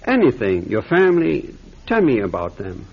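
An actor playing a cunning, friendly-seeming officer who speaks English in a vintage radio drama. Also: male voice, mid-range voice, huge pitch swings, normal speed, vocal fry, breathy edge, highs cut off, full character voice. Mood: coaxing. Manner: friendly, coaxing, quietly manipulative. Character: smooth, cunning German officer interrogator. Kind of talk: 1940s radio acting.

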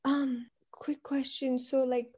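A young woman from Miami with her own unusual, faintly Miami-tinged accent, a bubbly and animated guest in a casual interview. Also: sits high, slow, breathy edge. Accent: her own unusual accent, slightly Miami. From Miami, Florida. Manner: bubbly, animated, laughs often.

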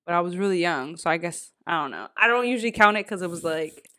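A young woman co-hosting a laid-back podcast, blunt and salty, full of "like" and acted-out reactions. Her voice sits on the low side. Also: animated, fast, pushed and bright. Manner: blunt and profane, with lots of fillers and quoted reactions. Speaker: podcast co-host. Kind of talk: candid casual chat.

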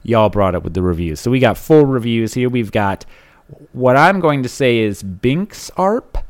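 A man's voice: mid-range voice, animated, moderate pace. A young man from Alabama co-hosting a casual comedy podcast, bantering and reading listener reviews aloud. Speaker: podcast co-host.

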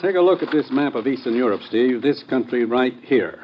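An American actor playing an authoritative government commissioner giving grave, deliberate briefings in a 1950s radio drama. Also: male voice, mid-range voice, lively intonation, slightly rough. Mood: businesslike, grave. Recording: dull, lo-fi vintage radio recording.

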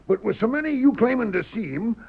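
A scheming old-West sheriff on vintage radio. His voice is high and very gravelly, swinging up and down as he blusters and accuses in rural American western speech. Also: expressive, quick pace, heavily acted. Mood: insistent, sly. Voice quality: raspy, creaky. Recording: muffled old radio broadcast.

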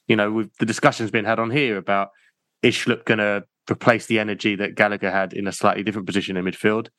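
A hesitant co-host who talks football while thinking aloud, with plenty of fillers. His slightly low voice sounds close and dry. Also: fairly bright tone, no room sound. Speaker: podcast co-host. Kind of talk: casual football chat.

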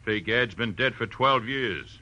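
A man's voice: medium pitch, unhurried, sharp tone, lo-fi sound. An actor playing a huge, menacing thug with slangy, taunting tough-guy talk in an old radio crime drama. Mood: taunting menace. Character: huge menacing male thug in a sharkskin suit.

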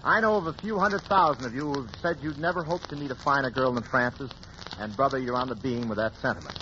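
A man hosting a 1940s radio variety show, introducing acts and guests with brisk, breezy patter. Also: mid-range voice. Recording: muffled old 1940s radio broadcast with hiss.

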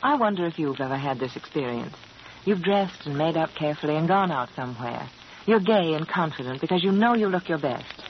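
Woman radio hostess with very lively intonation. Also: low-pitched, a little fast. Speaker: sponsor's hostess reading an advertisement. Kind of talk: 1940s radio commercial, scripted. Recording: muffled, noisy old radio broadcast.

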